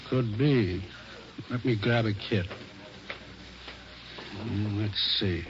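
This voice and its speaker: An actor playing a methodical, unhurried police crime lab technician, muttering terse observations in 1940s radio drama style. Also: male voice, lowish voice. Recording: muffled, noisy 1940s radio recording.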